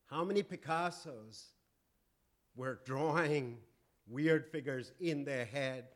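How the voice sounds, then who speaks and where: A man lecturing on economics to an audience, with a loud voice and frequent fillers and restarts. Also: medium pitch. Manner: loud, halting with many fillers. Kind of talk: public lecture.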